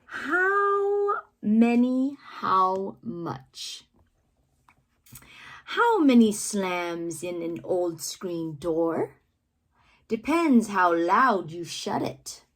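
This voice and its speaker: A woman reading children's poetry aloud with theatrical, deliberate phrasing and playful emphasis, adding asides as the reader. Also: medium pitch, very animated. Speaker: read-aloud host, teacher-like reader.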